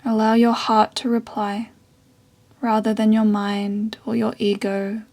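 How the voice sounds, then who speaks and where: Woman, guided meditation, slow pace, gentle breathy delivery, level intonation, soothing. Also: medium pitch, monotone. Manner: soft, breathy, soothing delivery with long pauses. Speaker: yoga and meditation teacher.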